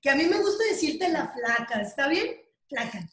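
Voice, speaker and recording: A Mexican woman hosting an interview, chatty and filling her pauses with "este". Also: high voice, sing-song, a bit bright, echoey room. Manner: chatty, with many "este" fillers. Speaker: interview host. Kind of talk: video call interview.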